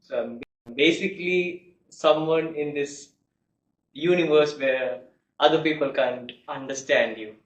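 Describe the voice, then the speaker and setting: A young man from India, a guest telling his personal story in a measured, reflective way. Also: a little high. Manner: measured, reflective, with soft laughs. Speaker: guest, law student. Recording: echoey, distant, muffled.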